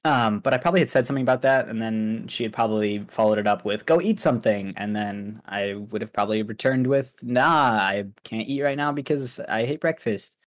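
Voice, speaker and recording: A young man from Pakistan, Urdu his first language, chats as a guest in a casual interview. His voice sits low, and he rambles through fillers and restarts. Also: fairly quick, hardly any pauses. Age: young adult. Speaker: streamer guest.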